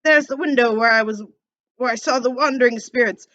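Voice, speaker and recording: A woman voicing an elderly male miser who is overjoyed, talking excitedly and loudly. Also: on the high side, fairly quick, highs cut off, voice pushed up. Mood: giddy. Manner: excited, shouting, laughing.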